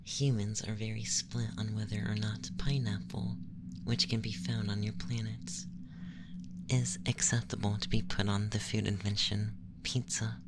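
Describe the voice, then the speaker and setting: A man voicing a young, effeminate, clinically curious alien abductor in a soft, hushed, breathy ASMR roleplay, questioning formally in a measured, detached way. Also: fairly low pitch, level tone, noisy background, close to an everyday voice. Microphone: close microphone.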